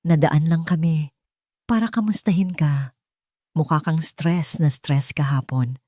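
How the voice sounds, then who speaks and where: A female narrator as a cold, haughty society woman in an audiobook drama. She offers syrupy, calculated concern in a low, mellow voice. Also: measured pace, no echo, clean studio sound. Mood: feigned concern.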